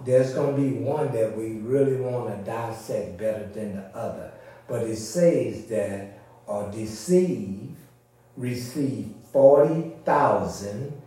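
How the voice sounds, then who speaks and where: A man's voice: medium pitch, dark tone. A man preaching in an informal, teacherly way, explaining scripture and often checking that his listeners follow. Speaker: preacher leading a church service. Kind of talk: informal expository sermon. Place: reverberant indoor church service. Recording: echoey room, distant mic.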